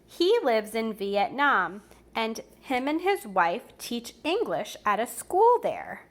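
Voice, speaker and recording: Lady teacher doing storytime for little kids, kinda high voice, takes her time, explains stuff as she goes. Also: big pitch swings. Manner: deliberate, explains and comments to young listeners. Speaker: preschool teacher reading aloud to children. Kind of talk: expressive storybook read-aloud for young children. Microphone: recorded on camera for a video.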